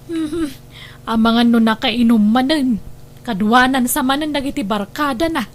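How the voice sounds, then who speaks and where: A woman's voice: sits high, a little slow. A woman acting a caring mother-in-law who frets over her wayward son in an Ilocano radio drama, with a Filipino Ilocano accent. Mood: concerned.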